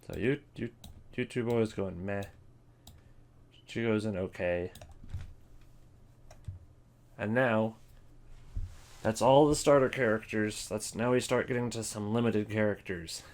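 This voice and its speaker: A man's voice: fairly low pitch, a little slow, pauses often, a little mellow. A man giving casual, opinionated commentary as the host of a ranking video.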